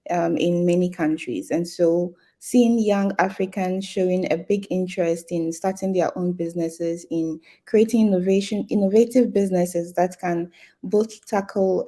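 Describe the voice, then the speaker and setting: A woman from Ghana speaking on a business panel, explaining her points in a measured way with frequent fillers. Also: fairly low pitch, mellow. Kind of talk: panel discussion.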